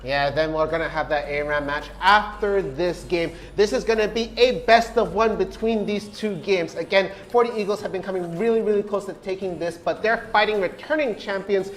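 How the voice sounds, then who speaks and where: A young man from Malaysia calls an esports draft with a high, light voice. He jokes with his co-caster and pumps up the crowd between picks, switching from easy chatter to loud hype. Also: normal speed, few pauses. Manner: energetic, playful hype-building. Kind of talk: live esports commentary.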